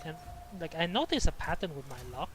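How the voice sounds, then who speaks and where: A man's voice: on the high side, very animated, fairly quick, noisy background. A man talking casually as he streams a game, rambling and repeating himself with some casual swearing. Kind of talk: casual chat while gaming.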